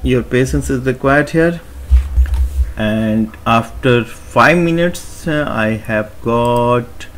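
A man talking through a screen-recorded website tutorial in an unhurried, explanatory way, with many fillers and restarts. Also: medium pitch, fairly bright tone, a little hiss or hum. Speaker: tutorial narrator.

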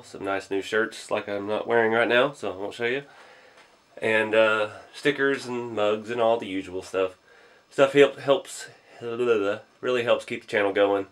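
A man with a low voice and a drawl talks through his hobby build in a home garage. He sounds a little hoarse from a cold. He rambles in a laid-back way, often trailing off with ums and shrugging uncertainty. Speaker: RC hobby channel host. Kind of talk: casual build walkthrough.

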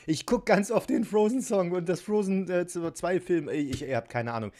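A man's voice: sits high, normal speed, darkish tone. A man chatting casually and giggling often while he unpacks and builds a toy set at a table at home, poking fun at himself. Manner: chatty, giggly, self-mocking. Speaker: toy unboxing vlogger. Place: home tabletop filmed from above.

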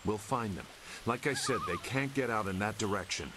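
A man's voice: lowish voice, moderate pace, natural voice. A man playing a reluctant, world-weary, guarded loner warrior in a video game, speaking tersely. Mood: guarded, resolved. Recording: clear noise behind game dialogue.

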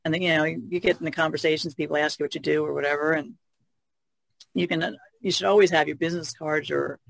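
A woman's voice: sits low, steady tone, fast, creaky, dry recording, rough recording. A woman talking casually as a podcast co-host.

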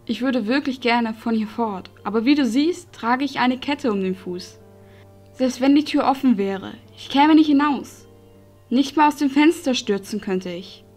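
Woman playing a sad polite captive lady, soft-spoken, higher voice, fantasy audio play, faint music. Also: measured pace. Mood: resigned. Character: gentle, resigned captive noblewoman, petite and polite. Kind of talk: fan-made fantasy audio drama acting.

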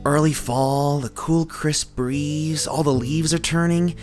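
Cartoon casting: male actor for earnest, easygoing young man, hesitant and unhurried, soft guitar music behind. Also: on the high side, steady tone. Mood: wistful, content. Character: young, easygoing, wistful, dreamy guy. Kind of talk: cartoon dub voice acting. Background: soft background music with plucked guitar.

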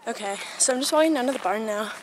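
A woman's voice: on the high side, racing. A woman chatting casually as she films a handheld vlog, rambling and trailing off as she gives a tour. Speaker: vlogger giving a barn tour. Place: horse barn and its grounds. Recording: noisy handheld camera recording.

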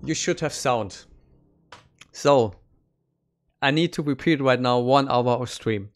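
A man in his thirties speaks English with a clear German accent, chatting loosely on a live stream. He talks in a relaxed, unpolished way with small slips. His voice is close and direct, picked up by a studio mic in a small home studio. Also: medium pitch, slow. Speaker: composer streaming his work. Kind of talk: casual live-stream talk. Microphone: studio mic on a stand, headphones on.

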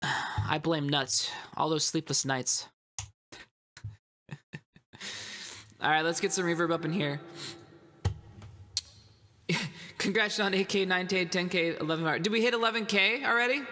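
A man's voice: high voice, measured pace, long pauses, bright, forceful tone. A man in his thirties with a head cold, chatting loosely with his stream chat from a dim home room. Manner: loose, rambling, talks straight to chat. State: stuffed up with a cold. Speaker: music livestreamer. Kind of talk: casual livestream chat. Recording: added reverb over guitar music.

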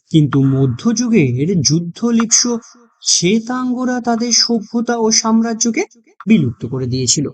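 A narrator reading a scripted horror mystery story in a dramatic storytelling manner. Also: mid-range voice.

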